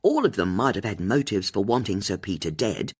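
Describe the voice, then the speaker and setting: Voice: deep voice, sing-song, brisk, slightly rough, pitched below the performer's own voice, exaggerated voice. An audiobook narrator voicing a blunt, indignant woman amateur detective, forthright and a little boastful. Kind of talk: audiobook character dialogue.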